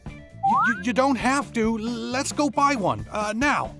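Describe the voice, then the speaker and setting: Woman voicing an earnest young man, low-pitched and animated. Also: a little slow. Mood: flustered, apologetic. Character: earnest, generous young male student smitten with a girl. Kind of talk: manga dub dialogue and first-person narration. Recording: music behind the voice. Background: background music.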